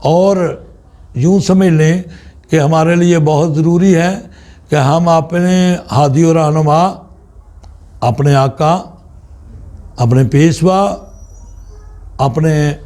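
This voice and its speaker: A man with a Pakistani accent giving a religious teaching talk as a spiritual guide, in deliberate, halting phrases with pauses. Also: a little high, steady tone, dark tone.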